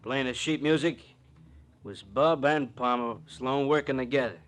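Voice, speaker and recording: A man's voice: mid-range voice, moderate pace, a bit bright, natural voice. Man as a brash, slangy American tough guy. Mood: blunt, argumentative. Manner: blunt, slangy, sarcastic. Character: brash, hot-headed tough-guy male associate. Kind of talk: 1940s radio crime acting.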